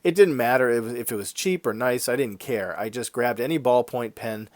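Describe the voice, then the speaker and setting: A man hosting an art vlog in a casual, conversational and explanatory way, his voice congested and a little raspy from a head cold. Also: medium pitch, moderate pace, no echo. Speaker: YouTube art channel host.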